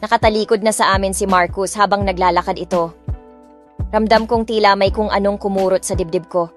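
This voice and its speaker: A woman's voice: medium pitch, fairly even intonation, fairly quick. A woman narrating a story in the first person as a spoiled, defiant rich young woman, reading expressively and mixing English lines into Tagalog. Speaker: audiobook narrator. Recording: dry close mic with soft music.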